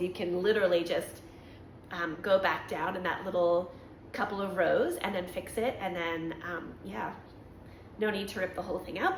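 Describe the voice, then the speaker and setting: A chatty woman hosting a knitting podcast, her voice slightly raspy from allergies. She rambles along with frequent ums and corrects herself mid-thought. She is recorded in an echoey room with a faint hum behind her. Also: medium pitch. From Canada. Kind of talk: casual show-and-tell chat.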